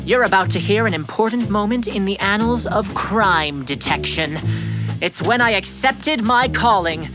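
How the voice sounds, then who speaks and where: A woman's voice: medium pitch, normal speed, natural voice. A woman playing an earnest, pompous sixth-grade boy detective in a children's audio drama, with formal, bookish phrasing. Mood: grandiose. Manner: grandiose, formal, bookish delivery. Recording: music behind the voice.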